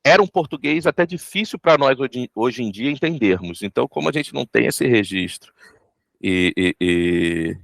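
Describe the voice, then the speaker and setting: A Brazilian man, a voice actor, giving reflective, explanatory interview answers in a halting way, repeating words as he goes. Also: medium pitch, lively intonation, creaky, fairly bright tone, dry recording. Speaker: voice actor interviewed about an audio drama.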